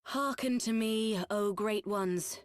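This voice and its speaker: A woman's voice: medium pitch, slow pace, no echo, studio quality, natural voice. A woman playing a solemn ceremonial priestess in a video game cutscene, with a stately, formal, incantatory delivery. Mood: reverent, solemn. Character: solemn ceremonial priestess invoking great spirits.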